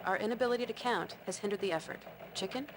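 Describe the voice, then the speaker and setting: A woman's voice: mid-range voice, fast talker, noisy background, lightly acted. A woman voicing a hen news anchor in a video game's parody newscast, polished and melodramatic. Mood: grave reporting. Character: brisk female hen television news anchor.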